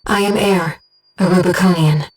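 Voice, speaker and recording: A woman voicing a gentle, earnest disembodied being in a video game, guiding a pilot with composed, precise, softly formal delivery. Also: on the low side, steady tone, moderate pace, breathy edge, distant mic, faint music behind. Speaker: mission support operator. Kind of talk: scripted video game voice acting.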